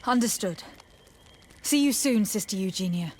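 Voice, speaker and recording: A woman's voice: mid-range voice, expressive, moderate pace, darkish tone, slight background noise, lightly acted. An actress playing a composed, diplomatic noblewoman envoy in a fantasy video game. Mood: resolved. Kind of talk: scripted fantasy game dialogue. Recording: game music behind the voice. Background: game music.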